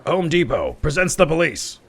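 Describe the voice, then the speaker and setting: A man acting a zealous, self-righteous police detective, narrating a noir parody with deadpan, dramatic emphasis. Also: a little high, normal speed, pitched above the performer's own voice. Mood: commanding. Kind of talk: hardboiled first-person noir parody narration. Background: music.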